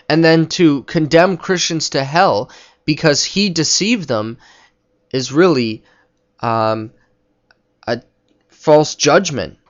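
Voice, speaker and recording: A man's voice: fairly high pitch, a bit bright. Didactic man in dull lo-fi audio. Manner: didactic, argumentative. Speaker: religious video commentator. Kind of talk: unscripted spoken commentary on religion.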